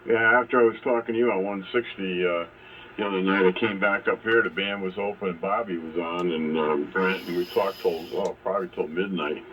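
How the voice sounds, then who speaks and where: A man's voice: sits low, fairly even intonation, brisk, fairly bright tone. A man chatting casually as an amateur radio operator over an AM shortwave transmission. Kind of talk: casual technical chat over ham radio. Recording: phone-like AM radio with faint noise.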